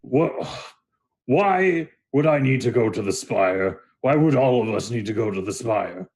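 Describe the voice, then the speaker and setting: A man voicing a big, skeptical Minotaur blacksmith in a tabletop game, with slow, drawn-out, sarcastic delivery. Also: mid-range voice, expressive, darkish tone, pitched above the performer's own voice, close to an everyday voice. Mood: puzzled.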